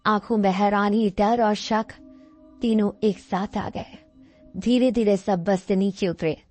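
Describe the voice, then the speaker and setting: A woman's voice: mid-range voice, steady tone, slow pace, darkish tone. A woman giving a scripted narration of a horror cartoon story. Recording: music bed behind the voice.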